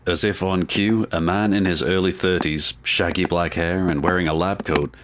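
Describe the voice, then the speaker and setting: A man's voice: deep voice, fairly even intonation, moderate pace, noisy background. A man narrating a scripted audio drama as the storyteller.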